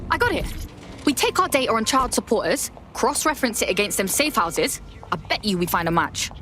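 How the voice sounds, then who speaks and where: Brash, high-pitched hacker. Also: female voice, very animated, a little fast, sharp tone. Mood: confident. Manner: slangy, blunt. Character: brash, streetwise female hacker recruit. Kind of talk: video game acting.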